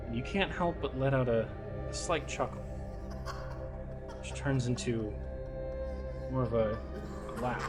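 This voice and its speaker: A man acting as game master, narrating a horror tabletop scene in halting, suspenseful phrases. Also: mid-range voice. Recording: loud music underneath.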